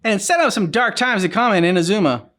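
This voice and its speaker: Man casually commenting, halting and informal. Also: high voice, animated, a bit bright. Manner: halting, thinking aloud, informal. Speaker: reaction channel host. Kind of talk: casual commentary chat on a video game.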